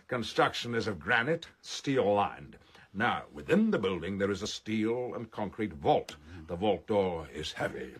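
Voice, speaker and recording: An actor playing a pompous criminal mastermind in a radio play, speaking with precise, formal, grandiose diction. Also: male voice, on the low side, big pitch swings, unhurried, a bit bright, slightly rough, exaggerated voice. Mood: commanding.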